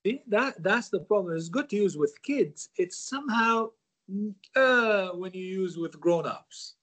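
Male guest, reflective storyteller, slightly raised pitch. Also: lively intonation, a little slow, fairly bright tone. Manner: reflective, repeats words for emphasis. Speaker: guest, former corporate manager. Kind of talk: storytelling interview answers.

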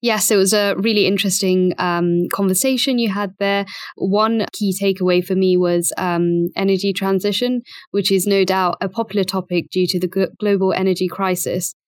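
A woman's voice: mid-range voice, steady tone, normal speed, dry recording. Formal woman commentator, dry close sound. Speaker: financial journalist, podcast co-presenter. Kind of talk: prepared podcast commentary.

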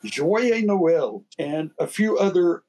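A man's voice: sits high, expressive, slow pace, darkish tone. A man from Texas with a Texan accent, co-hosting a podcast in a folksy, enthusiastic way. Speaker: podcast co-host.

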